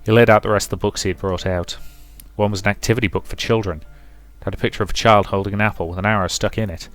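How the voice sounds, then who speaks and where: A man's voice: on the low side, steady tone, fast, fairly bright tone. A man reading a visual novel's story narration aloud as a let's play narrator. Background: music.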